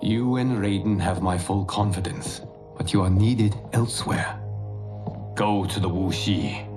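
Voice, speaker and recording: An actor playing a calm, commanding male protector god in a game cutscene. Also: on the low side, close to an everyday voice. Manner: measured, commanding. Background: orchestral game music.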